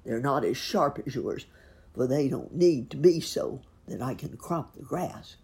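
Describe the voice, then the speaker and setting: Woman voicing a brave, husky storybook horse. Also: a bass voice, lively intonation, darkish tone, full character voice. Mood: defiant. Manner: neighing, earnest, emphatic, drawn-out. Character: kind, brave male horse, grateful and protective. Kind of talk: children's storybook character voice.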